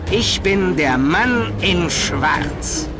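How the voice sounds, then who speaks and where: A man's voice: high voice, animated, extremely slow, exaggerated voice. A man playing a smug, mock-polite masked robber who taunts and threatens in an audio drama. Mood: smug menace. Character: theatrical male masked robber, smugly threatening villain. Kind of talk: German audio drama acting. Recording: loud music under the voice.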